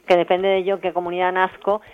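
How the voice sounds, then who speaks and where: A woman with a Spanish accent talks very quickly, explaining her points with emphasis and everyday turns of phrase. She keeps adding little tag questions, as a guest in an informal interview. The radio sound is slightly dull and lo-fi. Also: fairly low pitch, completely flat delivery, clear, resonant tone. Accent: Spain. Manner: emphatic, colloquial, full of checking tag questions. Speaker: interviewed guest, health professional and feminist activist.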